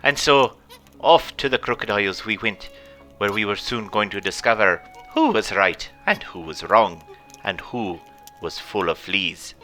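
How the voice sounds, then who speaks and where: Wildly animated pirate captain. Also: male voice, fairly low pitch, measured pace, hardly any pauses, soft background music, full character voice. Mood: storytelling. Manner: theatrical, exclamatory, archaic pirate phrasing. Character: boisterous old-salt male pirate captain storyteller, blustery and theatrical. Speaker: storyteller and host. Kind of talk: in-character adventure game narration for children.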